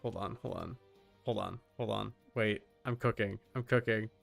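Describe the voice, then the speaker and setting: A man's voice: on the low side, normal speed, vocal fry, faint music behind. A man chatting casually and swearing as he livestreams while setting up a game character. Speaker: streamer.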